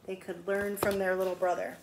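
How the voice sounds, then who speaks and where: A woman's voice: mid-range voice, flat delivery, a little fast, vocal fry. A woman reading a picture book aloud to young children like a teacher, emphatic, with explanatory asides. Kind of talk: expressive read-aloud storytelling for young children. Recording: echoey room with faint background noise.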